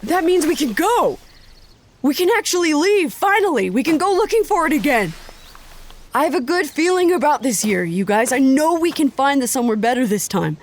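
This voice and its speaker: A woman's voice: high-pitched, sing-song, slight background noise. A woman acting a young traveler who is anxious and insistent, pleading to keep moving, in an audio drama. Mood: excited. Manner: pleading, insistent, quick to alarm.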